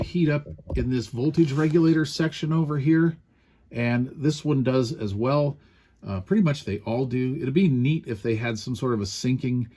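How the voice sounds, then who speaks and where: A man's voice: medium pitch, dark tone. A man giving a casual, unscripted hands-on product review in a folksy, plain-spoken way with colloquial turns.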